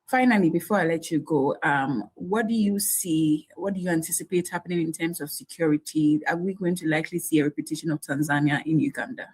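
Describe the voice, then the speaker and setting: Low, husky female news anchor. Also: a little fast, few pauses, poor audio. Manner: direct, probing questions. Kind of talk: news interview questions.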